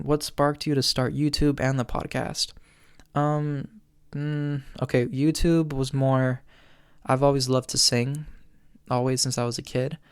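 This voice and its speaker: A young man in his twenties hosts a solo listener Q&A, chatty and earnest, dropping ums and small laughs between thoughts. His voice sounds smooth and clear despite a tired edge that he says can crack. He speaks in a fairly flat, even tone, close to the mic in a dry room. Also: medium pitch, no room sound. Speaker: podcast host. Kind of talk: solo Q&A chat.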